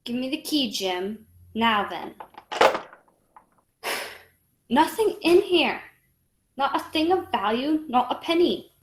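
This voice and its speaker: A woman's voice: sits high, expressive, slow pace, pauses often, echoey room, slight background noise. A woman playing an indignant, stubborn widowed mother and innkeeper in a radio play, with dramatic, determined delivery. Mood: brisk, greedy.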